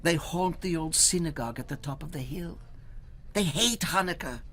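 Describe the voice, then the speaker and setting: A man's voice: fairly high pitch, moderate pace, fairly bright tone, voice pushed up. A man voicing a miserable, frightened villager in a storybook, complaining plaintively. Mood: plaintive, fearful.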